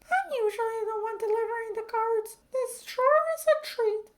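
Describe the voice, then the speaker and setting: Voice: very high voice, moderate pace, pitched above the performer's own voice. A storybook narrator voicing a cheerful, friendly female mail carrier. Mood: delighted. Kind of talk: children's storybook character voice.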